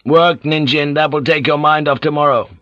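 A man's voice: fairly high pitch, fairly even intonation, normal speed, dry recording, highs cut off, voice pushed up, close to an everyday voice. A man voicing a stoic village headman who speaks in short, firm, laconic sentences.

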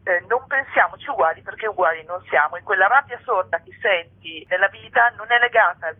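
A woman's voice: mid-range voice, brisk, slightly rough, phone-quality sound. A woman speaking as an interviewed author, explaining politics in an articulate, argumentative way with ironic asides. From Italy.

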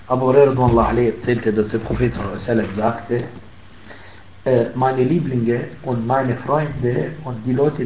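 A man giving a religious lesson in German, emphatic on key points and pausing with fillers. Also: mid-range voice, slight background noise. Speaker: Islamic teacher. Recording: roomy, muffled, faint ambience.

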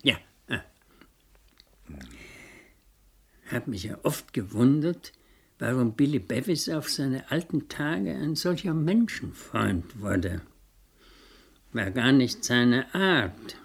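A kindly old man of the law speaks very slowly in a radio play. His voice is creaky and husky. He pauses and ruminates as he goes. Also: medium pitch, sing-song, heavily acted. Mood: genial, musing. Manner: slow, hesitant, ruminating. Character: Mister Purdy, slow elderly kindly Scottish male legal adviser.

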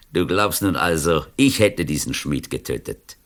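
An actor in a radio play as a cynical, taunting older criminal, speaking in a deliberate, eloquent, mocking way. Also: male voice, a bass voice, fairly bright tone, full character voice. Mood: mocking, self-assured.

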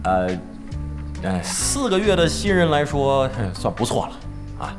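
Boastful middle-aged gamer, theatrical bluster, very animated delivery, radio drama, background music. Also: male voice, on the high side, a little slow. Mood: defensive. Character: brash, boastful veteran male gamer, blustering and face-saving. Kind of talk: Chinese radio drama acting. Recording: music behind the voice.

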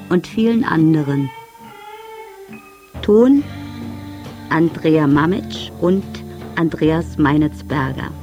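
Female credits announcer, unhurried pace, lively pitch, plucked guitar music underneath. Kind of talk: scripted radio credits announcement.

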